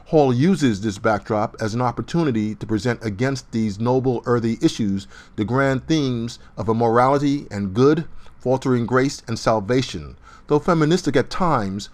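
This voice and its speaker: A man reading a scripted theatre review in an even, mid-range voice. His delivery is measured and formal, like a prepared voice-over. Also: medium pitch. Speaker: host, reviewer. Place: voice-over over still images.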